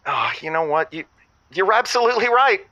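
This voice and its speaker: Actor as bright, fussy pedantic boyfriend. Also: male voice, high-pitched, very animated, brisk, no room sound, lo-fi sound, exaggerated voice. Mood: hesitant, aggrieved. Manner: precise, argumentative, exasperated. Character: fussy, pedantic man correcting his boyfriend on radio. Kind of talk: scripted audio comedy drama.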